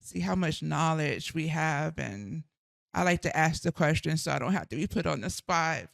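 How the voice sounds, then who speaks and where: A woman's voice: deep voice, animated, normal speed, vocal fry, no echo, studio quality. A middle-aged woman chatting with her mother, thoughtful and rambling, a little self-deprecating. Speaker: daughter and co-host. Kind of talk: casual chat.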